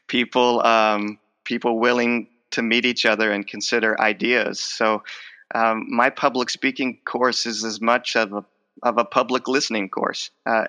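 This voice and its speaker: A man's voice: lowish voice, bright, forceful tone. A man in his forties, a speech professor who stutters, repeating words and restarting as he answers interview questions. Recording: long hall reverb.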